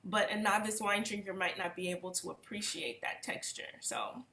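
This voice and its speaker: Bright chatty woman hosting a wine call, roomy echo. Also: medium pitch, fairly even intonation, moderate pace. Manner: chatty, upbeat, many fillers. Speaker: host.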